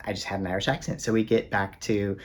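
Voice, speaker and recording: A man with a fairly low voice talks casually to the camera in a book vlog. He rambles with plenty of ums and uhs, poking fun at himself. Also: fairly even intonation, quick pace. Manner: rambling, self-deprecating, many fillers. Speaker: book vlogger.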